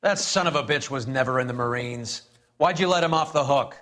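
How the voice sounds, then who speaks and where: An actor playing a crude, sardonic, impatient police detective in a game, blunt and needling. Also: male voice, mid-range voice, brisk, a bit bright, natural voice. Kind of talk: dramatic game dialogue.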